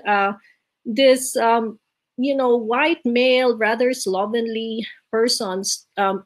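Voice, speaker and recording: Reflective middle-aged woman from the Philippines. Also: on the high side. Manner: reflective, many ums and restarts. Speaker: leadership award speaker. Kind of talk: personal life story talk. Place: home, virtual event. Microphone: video call.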